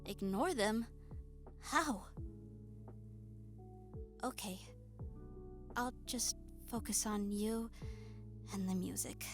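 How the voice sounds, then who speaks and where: A woman voicing a shy, nerdy young goblin woman in a romantic audio roleplay, hesitant, stammering and soft-spoken. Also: mid-range voice, drawn-out words, pauses often. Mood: shy, easing. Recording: music behind the voice. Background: soft music.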